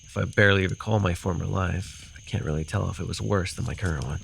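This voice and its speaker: Man acting a low, gravelly fantasy guide. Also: steady tone, fast talker, slight background noise. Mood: earnest, reassuring. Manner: hesitant, soothing, sighing, teasingly defensive. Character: gruff fantasy forest guide, caring but easily flustered. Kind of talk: immersive ASMR roleplay acting.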